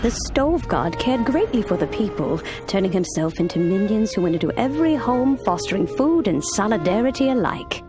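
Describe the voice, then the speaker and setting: An actress playing an ageless, wise immortal woman in a video game, telling old tales in a solemn, measured, formal way. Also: medium pitch, sing-song, music in the background, lightly acted. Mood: reverent storytelling. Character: ageless immortal adeptus woman, wise, stately, nostalgic storyteller. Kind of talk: dramatic video game storytelling.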